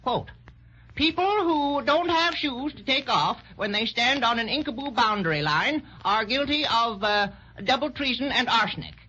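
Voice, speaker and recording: Pompous fairy-tale king, high and swooping, haughty and dithering, old lo-fi radio sound. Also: expressive, moderate pace, sharp tone, husky, heavily acted. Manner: haughty, blustering, hesitant. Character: pompous, dithering, easily offended king of inkblot creatures. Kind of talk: 1930s children's radio acting. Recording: dull, lo-fi 1930s radio recording.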